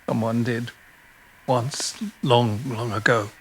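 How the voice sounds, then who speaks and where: An actor playing a tender, smitten middle-aged man in an intimate audio drama, speaking in a halting, gently wry way. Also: mid-range voice, flat delivery, slightly rough, exaggerated voice. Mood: pained, subdued.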